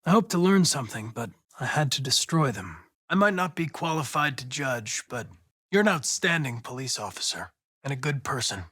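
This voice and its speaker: An actor playing a polite, earnest young male android police investigator in a video game, speaking in precise, formal, measured diction. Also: mid-range voice, sing-song, sharp tone, husky, no room sound, studio quality, heavily acted. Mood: formal, serious. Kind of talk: scripted video game dialogue.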